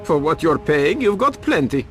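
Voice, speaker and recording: A man's voice: a little high, very animated, normal speed, soft background music. An actor playing a cagey, self-important, money-minded reporter turned informant in a video game, evasive as he talks. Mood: defensive, haggling. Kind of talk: video game cutscene acting.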